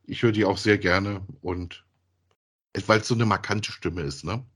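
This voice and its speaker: A man's voice: low-pitched, normal speed, fairly bright tone, breathy edge. Casual man chatting as a podcast co-host. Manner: colloquial, often tags sentences with "ja". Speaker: co-host of a podcast about radio plays. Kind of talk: casual conversational chat.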